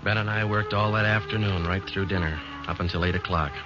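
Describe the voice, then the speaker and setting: An actor playing a calm, matter-of-fact police sergeant who narrates a 1940s radio drama in a flat, clipped delivery, on a dull old broadcast recording. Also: male voice, low voice, brisk, loud music behind the voice, lightly acted.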